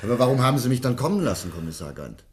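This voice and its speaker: An actor playing a courteous, inquisitive scientific investigator in a German radio mystery play. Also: male voice, medium pitch, big pitch swings, rapid-fire, a little mellow, lightly acted. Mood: earnest, insistent. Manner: polite, attentive, questioning. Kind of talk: German radio drama acting.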